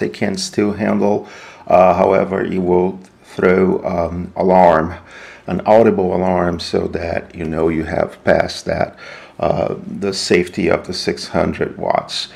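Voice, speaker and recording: A man giving an unscripted, hands-on equipment walkthrough in a halting, explanatory way. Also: sits low, creaky, darkish tone. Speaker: product demonstrator.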